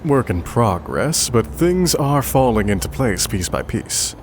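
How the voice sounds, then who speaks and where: A man acting a loyal, cautious aide to a political schemer in an audio drama, measured and reassuring. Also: medium pitch, very animated, slight background noise.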